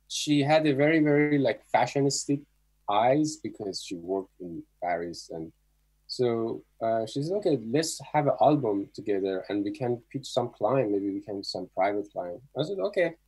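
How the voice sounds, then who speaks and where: A middle-aged man from Iran speaks with an Iranian accent in a medium-pitched voice. He tells his story casually as an interview guest, with halting, non-native English phrasing typical of a Persian speaker. He is talking over a video call. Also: animated, darkish tone, breathy edge, low-quality recording. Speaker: guest photographer.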